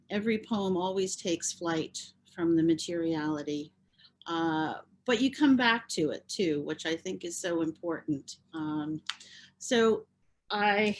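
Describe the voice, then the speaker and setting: A woman speaking in a measured, deliberate way, as at a heartfelt poetry tribute on a video call. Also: mid-range voice. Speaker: poet reading at a tribute event. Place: virtual reading over video call.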